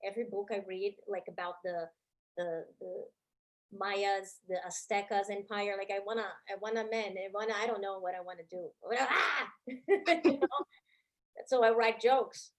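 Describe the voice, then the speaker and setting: A woman with a Brazilian accent hosting a live comedy show over a video call, animated and quick to break into a loud laugh. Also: medium pitch.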